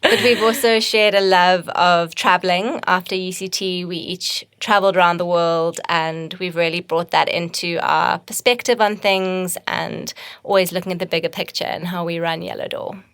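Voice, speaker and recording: A woman from Zimbabwe with a somewhat low voice, co-hosting a casual podcast. She talks in a relaxed way, with ums and repeated words. The recording is dry and close. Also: normal speed, clean tone, no room sound. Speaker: podcast co-host, agency co-founder.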